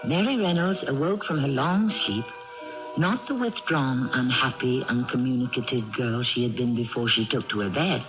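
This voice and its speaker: A man hosting a radio drama with scripted dramatic narration in deliberate, literary phrasing. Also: mid-range voice. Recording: muffled old radio broadcast with music.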